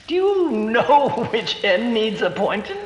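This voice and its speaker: A sneering old-time villain in a 1930s style radio play, swinging widely in pitch as he threatens and mocks. His archaic speech is slow and rough, and it rings in a noisy, cavernous echo. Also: very animated, exaggerated voice. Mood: sneering, mocking. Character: menacing male thief of long ago, sneering and brutal. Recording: echoey long reverb, clicks and noise.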